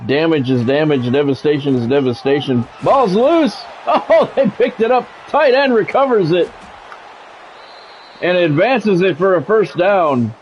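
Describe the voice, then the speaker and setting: A man's voice: fairly high pitch, a little slow, lo-fi sound, slight background noise. A middle-aged man doing live football game commentary mixed with casual chat, talking in a blunt, profane, conversational way. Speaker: game streamer and play-by-play commentator.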